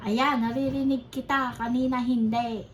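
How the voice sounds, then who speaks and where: A woman's voice: high voice, flat delivery, normal speed. A woman with a Filipino accent chatting casually and explaining a gadget hands-on in a demonstration. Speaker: vlogger unboxing and testing gadgets. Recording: clearly noisy background ambience.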